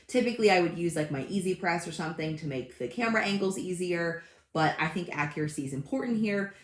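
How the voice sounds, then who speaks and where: A slightly hoarse woman in her thirties chats casually to her livestream viewers from a home craft room, greeting them by name. Her voice sits a bit low, and the room gives it a noticeable echo. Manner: chatty and friendly, greets live commenters by name. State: slightly hoarse, recovering from illness. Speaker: craft channel host.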